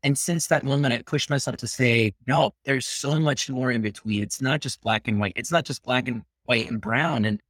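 A Peruvian-born man in his thirties, speaking as an interview guest. He talks in a reflective, earnest way at a middling pitch and now and then drops into Spanish. The recording is dry and close. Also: normal speed, hardly any pauses, a bit bright, no room sound. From Peru. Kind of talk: casual interview conversation.

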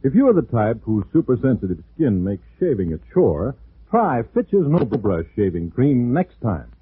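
A man's voice: medium pitch, sing-song, moderate pace, breathy edge, dry recording. A man reading a scripted commercial as a 1940s radio announcer. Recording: dry, tinny 1940s radio recording.

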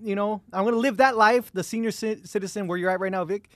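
A man co-hosting a casual podcast, agreeable and chatty, quick to laugh. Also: high voice, dry recording, clean studio sound. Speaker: podcast co-host.

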